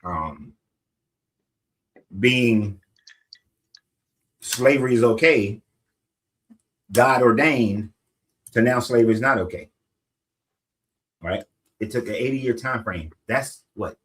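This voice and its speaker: A man with an ordinary mid-range voice, reasoning aloud in a measured, deliberate way. He works through his points step by step and tags them with questions to his listener. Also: medium pitch, fairly bright tone. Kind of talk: casual discussion.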